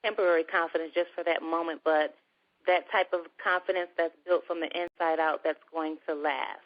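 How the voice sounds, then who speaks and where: A woman with a soft voice, chatting as a guest in a casual conversation. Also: sits low, fairly even intonation, fairly quick. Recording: dull lo-fi recording.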